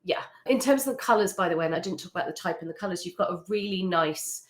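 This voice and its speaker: Female host, lower-pitched voice, upbeat and chatty. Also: animated, fast talker. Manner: upbeat, chatty, with frequent laughs. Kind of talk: casual interview, giving brand feedback.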